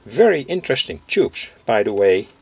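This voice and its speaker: A man from Europe giving an informal hands-on demonstration as a hobbyist presenter, speaking haltingly and often pausing mid-sentence. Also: medium pitch, very animated, gravelly, breathy edge, no echo. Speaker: presenter, vintage radio hobbyist.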